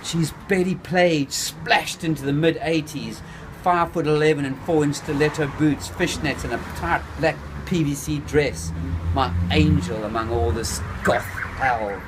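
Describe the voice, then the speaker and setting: A man reading his own novel aloud in the first person, unhurried, lingering on phrases and letting the pace drift between slow and quick. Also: on the high side. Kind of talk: first-person literary narration. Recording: steady background noise with passing traffic.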